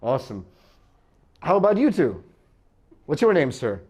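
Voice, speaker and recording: An American man doing stand-up crowd work, bantering in a halting, self-interrupting way and often chuckling. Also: fairly high pitch, big pitch swings, a little mellow, husky. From United States. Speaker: stand-up comedian.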